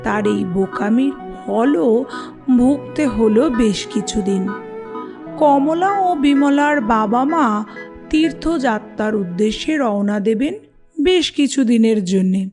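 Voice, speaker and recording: A woman narrator reads a children's tale. She goes slowly, in a voice pitched a little high. Faint music runs underneath. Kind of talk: scripted children's story narration.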